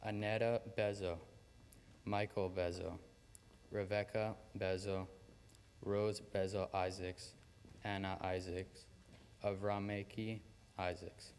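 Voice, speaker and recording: A young man with a low voice reads names at a memorial in a steady, nearly monotone cadence. He sounds set back in a large hall, with long reverb and a faint hum of ambience behind him. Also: takes it slowly, pauses often. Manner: steady, even recitation. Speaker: student reader. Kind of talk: solemn reading of names.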